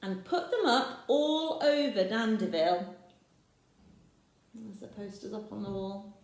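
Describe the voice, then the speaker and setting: A woman's voice: medium pitch, unhurried, a little mellow. A woman teacher reading a picture book aloud to children, pointing out the pictures and asking the listener questions. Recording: echoey room.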